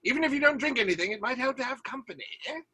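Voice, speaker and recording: An actor playing a fervent, protective leader of a theatre troupe, speaking emphatically in a theatrical audio drama. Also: male voice, very high voice, expressive, very fast, bright, forceful tone, slightly rough, exaggerated voice. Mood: concerned, gentle.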